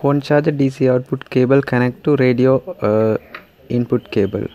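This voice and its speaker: A man's voice: medium pitch, normal speed, mellow, muffled recording. A man narrating a hands-on repair tutorial step by step, in short, simple, non-native English sentences. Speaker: DIY repair tutorial host. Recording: clearly noisy home recording.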